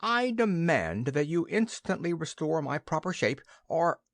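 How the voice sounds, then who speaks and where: Pompous honking goose, high and lively, as a storyteller puts him on. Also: male voice, a little slow, dry recording, studio quality. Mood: indignant. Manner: loud honking, wailing and indignant. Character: indignant pompous male goose, a transformed prime minister.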